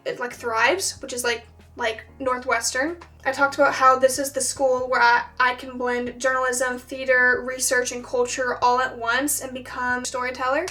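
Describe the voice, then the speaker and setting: High-voiced teen girl, slightly off-mic. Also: pushed and bright, recorded from a distance. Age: late teens. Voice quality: has a stutter. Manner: chatty, with frequent "like" fillers. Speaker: vlogger. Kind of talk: casual chat to camera.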